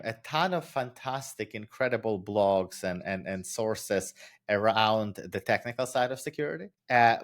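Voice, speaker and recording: A man in his thirties with a Ukrainian accent, a guest and founder explaining his path at length in a casual podcast interview. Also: on the low side. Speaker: guest, author and startup founder.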